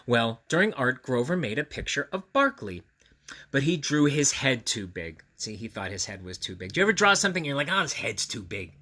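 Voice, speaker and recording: A man reads a picture book aloud to children with a bright voice and lively, swooping pitch. He is expressive and confiding, often stepping out of the story to ask the listener questions. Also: fairly quick. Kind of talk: animated read-aloud with friendly asides to children.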